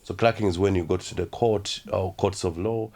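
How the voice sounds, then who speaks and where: A young man with a Ugandan accent, telling his story as an interview guest in a halting, reflective way full of fillers. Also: sits low, steady tone, darkish tone, a little hiss or hum.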